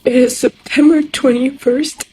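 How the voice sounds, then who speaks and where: A young woman from Iran with a Persian accent, giving a halting, distressed personal testimony in a social media clip. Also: sits high, steady tone, a little mellow, a little hiss or hum, rough recording. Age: young adult. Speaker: social media video maker.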